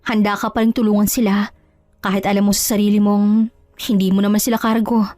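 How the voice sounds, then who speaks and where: A woman's voice: medium pitch, little pitch movement, fairly quick, a little mellow, no room sound, lightly acted. A woman performs a hurt wife in a Tagalog audio drama. She is good-hearted yet reluctant to forgive, speaking plainly and emphatically. The sound is close and dry, with music underneath. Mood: sincere. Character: kind, wounded wife, firm and reluctant to forgive.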